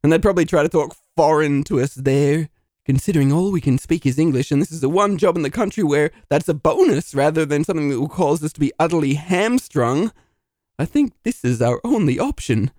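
A man's voice: fairly high pitch, lively intonation, brisk, darkish tone, dry recording, studio quality, close to an everyday voice. A man voicing a self-pitying failed dungeon master in a comedy audio drama, talking in a melodramatic way. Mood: dramatic. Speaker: narrator.

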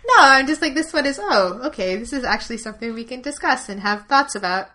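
A woman's voice: a little high, lively intonation, quick pace, pushed and bright. A woman co-hosting a podcast in casual chat, reading and discussing listener questions. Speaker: podcast host.

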